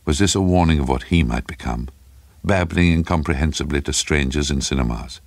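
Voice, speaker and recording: A man narrating a dark literary story in a dramatic, scripted storytelling style. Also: a bass voice, fairly quick, vocal fry, dry recording.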